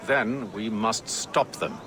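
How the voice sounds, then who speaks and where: An actor playing a decisive, formal grand master giving orders in a video game. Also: male voice, on the low side, slow pace. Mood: resolute. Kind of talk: video game character acting. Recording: game audio over noisy outdoor ambience.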